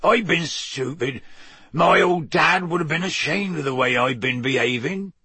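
A huge, humble gamekeeper with a hoarse, croaky voice, played by a man. He talks slowly in a rustic way, dropping his letters. The sound is close and dry. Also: on the high side, bright, forceful tone, no echo, voice pushed up, exaggerated voice. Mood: tearful. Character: huge gruff male gamekeeper, tearful and humble.

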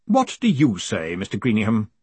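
A man voicing a calm, quietly commanding ruler with measured, formal, icily polite speech in an audiobook. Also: lowish voice, slightly rough, no echo, full character voice. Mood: calm, pressing. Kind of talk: audiobook character acting.

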